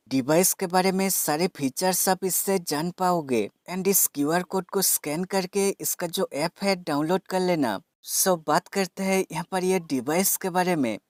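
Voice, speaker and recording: A man's voice: high-pitched, dry recording, studio quality. A man narrating a product unboxing and tutorial as a tech reviewer, explaining things methodically step by step.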